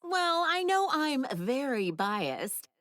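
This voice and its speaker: A woman's voice: sits high, sing-song, takes it slowly, a bit bright, dry recording, clean studio sound, heavily acted. A woman voicing a self-assured, teasing middle-aged friend in an anime video game dub, speaking in a wry, casual way. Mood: amused. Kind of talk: English-dubbed anime game voice acting.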